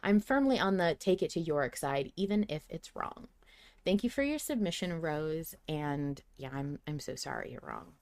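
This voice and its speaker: A woman's voice: sits low, very animated, moderate pace, a little mellow. A woman co-hosting a podcast in casual discussion, emphatic and wryly opinionated. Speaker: podcast co-host. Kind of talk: casual discussion of a fiction podcast, reading listener submissions.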